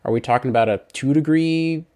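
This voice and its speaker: A man's voice: on the high side, a little fast, a little mellow. A man hosting a podcast, narrating and explaining ideas plainly with everyday examples. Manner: plain, conversational explainer using everyday images. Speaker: podcast host.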